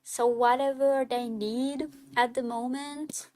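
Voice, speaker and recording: Slow-talking young woman. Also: sits high, no room sound. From Hungary. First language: Hungarian. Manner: relaxed, chatty, laughs often. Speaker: streamer. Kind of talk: casual chat reading viewer questions.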